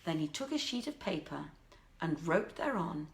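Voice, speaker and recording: A woman with an expressive, courteous manner, working as a live story reader with theatrical, carefully phrased delivery. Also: sits low, moderate pace, rough recording. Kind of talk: theatrical storybook reading and informal livestream talk.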